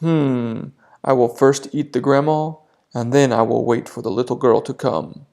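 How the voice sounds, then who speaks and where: A scheming big bad wolf from a fairy tale, voiced by a storyteller. The delivery is slow and sly, with a mellow, gravelly tone. He sounds flattering and hungry. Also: mid-range voice.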